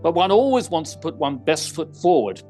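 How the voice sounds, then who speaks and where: An actor playing a composed, courteous private detective in an audio theater mystery, speaking in formal, precise, theatrical phrases. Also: male voice, fairly high pitch, sing-song, normal speed, mellow, faint music behind.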